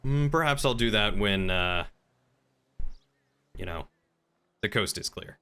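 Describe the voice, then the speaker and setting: Bright, clear-voiced male game streamer. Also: lowish voice, lively intonation, slow pace. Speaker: game streamer playing a crime boss. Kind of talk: casual roleplay and stream chat.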